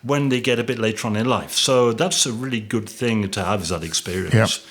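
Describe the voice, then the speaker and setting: A man's voice: on the low side, brisk, fairly bright tone. A middle-aged man from England, a drummer and music teacher, chatting casually from home with rambling, self-deprecating asides and frequent restarts. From Yorkshire, England. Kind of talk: casual interview chat. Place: at home on a sofa.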